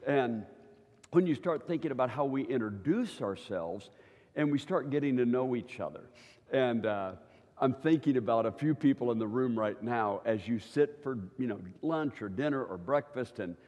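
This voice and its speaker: A man's voice: mid-range voice, animated, normal speed, darkish tone. Chatty older man preaching in a hall. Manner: chatty, keeps checking in with listeners. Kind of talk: conversational church teaching. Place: church hall. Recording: long reverb of a church hall.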